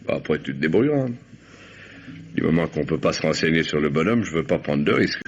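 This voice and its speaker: An actor playing a slangy, domineering, hard-boiled gangster with an offhand manner in a radio mystery play. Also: male voice, a bass voice, lively intonation, fast talker. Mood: sly, offhand. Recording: muffled, noisy old radio drama recording.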